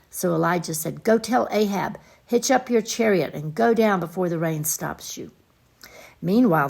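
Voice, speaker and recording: Woman, unhurried slow delivery, lowish pitch, Bible study teacher, deliberate and halting. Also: gravelly. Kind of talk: informal Bible lesson with scripture reading.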